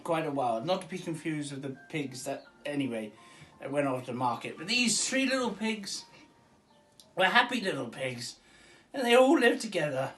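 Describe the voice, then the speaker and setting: A man's voice: on the high side, fairly bright tone. Fields: Sex: man. Style: rambling comic bedtime story. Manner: chuckling, meandering asides.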